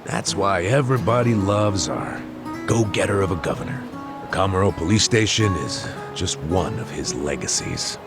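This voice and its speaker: A jaded middle-aged ex-cop in a game cutscene. The voice is low and very animated, sliding through sardonic, scheming turns, with loud music playing underneath. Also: male voice, moderate pace. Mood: wry, blunt. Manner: sardonic, casual, goading. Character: wry, jaded middle-aged male ex-detective, streetwise and scheming. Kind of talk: video game cutscene voice acting. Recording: dramatic music behind the voice. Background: loud background music.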